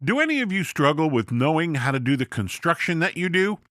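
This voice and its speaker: A man's voice: fairly high pitch, big pitch swings, brisk, a bit bright, no echo, studio quality. A man voicing a male builder who leads a coffee meeting and asks the group questions. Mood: earnest.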